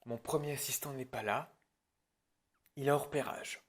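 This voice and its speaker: A man's voice: medium pitch, steady tone, normal speed, lightly acted. A man playing an irritable, bossy film director in an improvised parody roleplay, alternating hesitant muttering with curt, sarcastic orders. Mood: impatient. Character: irritable, bossy male film director running a prep meeting.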